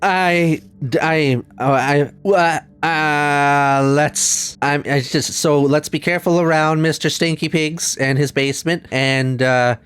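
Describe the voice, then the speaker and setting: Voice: medium pitch, steady tone, takes it slowly, hardly any pauses, fairly bright tone, smooth. A tabletop player voicing a nervous, evasive adventurer who stammers and hedges in a flustered way. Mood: flustered, defensive. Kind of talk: in-character tabletop roleplay.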